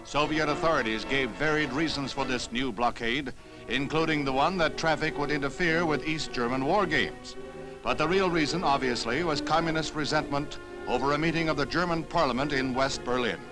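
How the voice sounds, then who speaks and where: A man's voice: a little high, normal speed, rough recording. A man with an American accent narrating a 1960s newsreel in a formal, declarative announcer style. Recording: 1960s newsreel soundtrack with music. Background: music.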